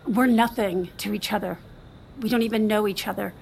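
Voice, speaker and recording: A woman's voice: medium pitch, steady tone, brisk, creaky, slight background noise, natural voice. An actress playing a guarded, bitter woman in a naturalistic audio drama, curt, profane and confrontational. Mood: tense, dismissive. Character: guarded, bitter, sharp-tongued woman with secrets.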